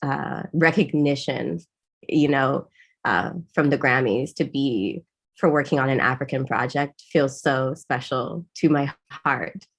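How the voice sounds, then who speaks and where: A woman's voice: rumbling low, unhurried, slightly rough. A woman songwriter from Zimbabwe, talking thoughtfully and reflectively in a conversational interview.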